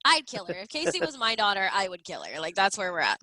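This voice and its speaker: A woman's voice: mid-range voice, huge pitch swings, quick pace, bright, forceful tone. A woman in her early twenties co-hosting a casual true-crime comedy chat, blunt, emphatic and foul-mouthed. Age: early 20s. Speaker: podcast co-host.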